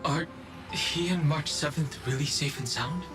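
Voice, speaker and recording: Game role: guarded young man on watch played by a man, unhurried and grave, formal wording, music underneath. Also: on the high side, natural voice. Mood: grave, weary. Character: solemn, guarded young male guard of a space train. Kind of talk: dramatic video game voice acting. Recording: game dialogue over clear background music.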